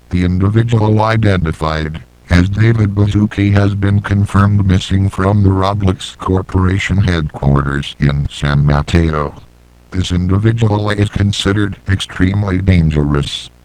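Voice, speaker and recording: Casting: man playing authoritative state emergency announcer, very low, smooth resonant voice, formal and deliberate. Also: a little mellow, poor audio. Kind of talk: scripted emergency broadcast announcement.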